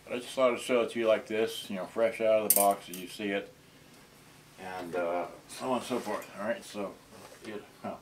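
Casting: man, 60s, slightly deep voice, halting off-the-cuff tinkerer muttering through a demo, light background noise. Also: steady tone, poor audio. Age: older, 60s. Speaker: vintage boombox collector presenting his channel. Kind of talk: casual unscripted demonstration. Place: cluttered indoor storage room. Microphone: camera microphone, tripod then handheld.

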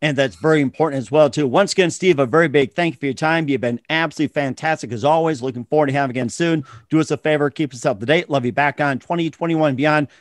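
A man's voice: on the high side, fast talker, few pauses, no room sound, clean studio sound. Effusive male talk show host. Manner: effusive, complimentary. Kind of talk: casual interview chat.

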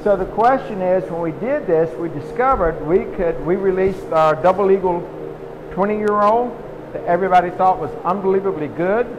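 A man's voice: high voice, moderate pace, highs cut off. A middle-aged man giving a folksy, question-and-answer tour of a barrel warehouse on a live stream, filmed on a phone. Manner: folksy, explanatory, asks questions and answers them. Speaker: distillery tour guide. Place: large indoor barrel warehouse and walk-in cooler. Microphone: phone camera held by someone walking with him. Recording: long reverb, faint noise in a big warehouse.

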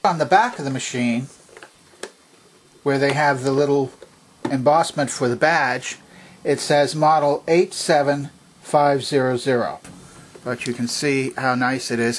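A man's voice: mid-range voice, fairly bright tone. Man methodically demonstrating a gadget. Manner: methodical, clearly enumerating. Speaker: vintage electronics demonstrator. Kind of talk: hands-on product demonstration. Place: indoors at a home workbench.

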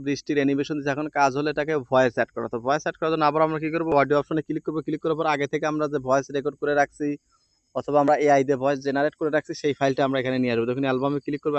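A man walks listeners through an on-screen task in an informal way. He talks quickly and repeats his pointers as he goes. The recording is close and dry. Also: a little high, dry recording, muffled recording. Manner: repetitive, instructional phrasing. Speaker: video editing tutorial presenter. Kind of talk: informal step-by-step screen tutorial.

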